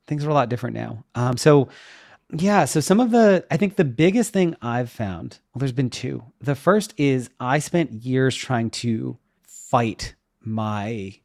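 A middle-aged man in a casual podcast conversation. He explains himself reflectively in a mid-pitched voice with a creaky edge. The sound is dry, with almost no room. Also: lively intonation, a little slow, darkish tone, no echo. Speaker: interview guest, web developer and educator.

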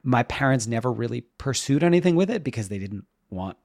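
A middle-aged man in a casual podcast conversation. He explains himself reflectively in a mid-pitched voice with a creaky edge. The sound is dry, with almost no room. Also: lively intonation, fairly quick, darkish tone, no room sound. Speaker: interview guest, web developer and educator.

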